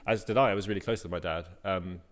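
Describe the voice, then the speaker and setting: A man hosting a conversation, talking casually and haltingly with many restarts. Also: low voice, fairly even intonation. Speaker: interviewer. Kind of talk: casual interview chat.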